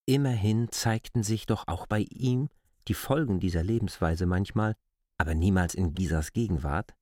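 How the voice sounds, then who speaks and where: A man's voice: lowish voice, normal speed, warm tone, dry recording, clean studio sound. A man narrating scripted literary prose for a radio play in pronunciation.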